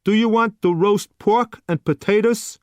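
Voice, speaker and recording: A man voicing a gruff, impatient male restaurant waiter who answers bluntly and loudly. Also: high-pitched, unhurried, dry recording, studio quality, pitched above the performer's own voice. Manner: blunt, curt, loud.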